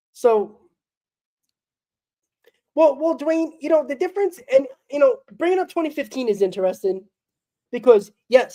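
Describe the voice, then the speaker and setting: A woman's voice: medium pitch, mellow. Sick, crackling-voiced woman ranting blunt. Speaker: postgame show host. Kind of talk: solo fan postgame rant.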